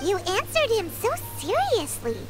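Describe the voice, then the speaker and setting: A woman voicing a tiny, childlike female fairy companion in a video game, blunt and cheeky, talking in a squeaky voice. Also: big pitch swings, measured pace, loud music behind the voice, full character voice. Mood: skeptical. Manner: squeaky, refers to self in third person. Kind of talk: video game character dialogue.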